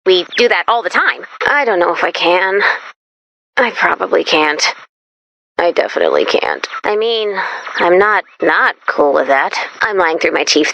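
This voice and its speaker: A woman voicing an excitable, anxious and quirky young female robot companion in a video game, theatrical and prone to deadpan gloom. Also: medium pitch, vocal fry, no room sound, full character voice. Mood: gloomy, defeatist. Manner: theatrical, swinging from peppy cheer to deadpan gloom. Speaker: game companion character giving mission dialogue. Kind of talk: animated video game voice acting.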